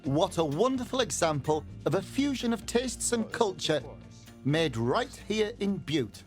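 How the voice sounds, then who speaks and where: A man's voice: high-pitched, big pitch swings, a little slow, faint music behind. A man presenting a TV food show, narrating and chatting with bakers. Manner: warm, chatty, asking questions.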